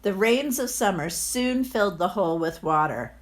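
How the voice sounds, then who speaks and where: A woman's voice: mid-range voice, lively intonation, moderate pace. A woman reading a children's storybook aloud expressively, like a teacher to her pupils.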